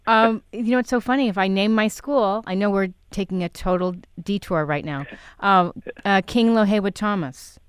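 A woman who grew up in New York City hosts a radio interview show. Her voice is smooth and clear, recorded dry and close. She asks her questions in a chatty, conversational way. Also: medium pitch, normal speed, no echo. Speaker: radio host.

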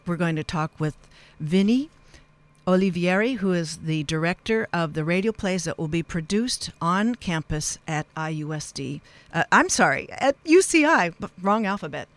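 A woman hosting a live radio interview, speaking in earnest, halting phrases. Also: fairly low pitch. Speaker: radio show host.

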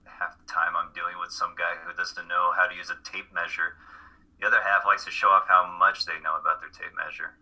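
A man's voice: low-pitched, level tone, fairly quick, bright, forceful tone, heavily acted, poor audio. A man playing a blunt, weary, cash-strapped young college student in an amateur radio play reading. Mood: frustrated, resigned. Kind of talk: live dramatic play reading.

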